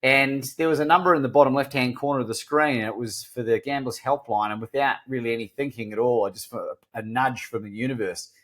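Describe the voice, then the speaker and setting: A man's voice: medium pitch, quick pace, few pauses, fairly bright tone. Middle-aged man, Kiwi-Australian accent, mid-range voice, earnest and self-mocking podcast guest. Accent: mixed New Zealand and Australian. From New Zealand. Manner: earnest, self-deprecating, frequent restarts. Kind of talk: candid storytelling interview.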